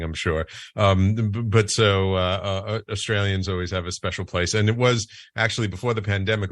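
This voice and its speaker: A man hosting a talk show, animated, with frequent stammers and restarts. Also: deep voice, no room sound, studio quality. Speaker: host.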